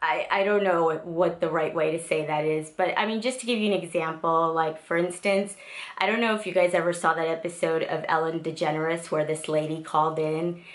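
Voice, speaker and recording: A woman's voice: sits low, steady tone, fairly quick. A woman in her thirties, born in South Korea and raised in the United States, chatting casually to her camera in a home music studio, rambling with lots of fillers. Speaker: vlogger. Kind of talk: casual get-to-know-me vlog. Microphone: camera in front of her.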